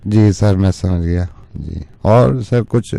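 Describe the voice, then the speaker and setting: Casting: male matchmaker caller, soft-edged mellow voice, respectful and deferential, recorded dry. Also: sits low, slow pace, vocal fry, no echo. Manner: polite, deferential. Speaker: prank caller posing as matchmaker. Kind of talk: phone conversation.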